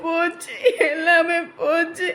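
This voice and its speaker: A distraught young bride-to-be, as a woman acts her out, wailing and sobbing in a very high, bright voice. Each cry rises into a shrill lament. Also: flat delivery, takes it slowly, exaggerated voice.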